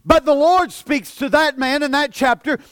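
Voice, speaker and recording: A man of forty preaching a sermon as pastor, earnest, often repeating and stumbling over words, dropping soft for emphasis. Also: very high voice, moderate pace, no echo, clean studio sound. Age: 40s.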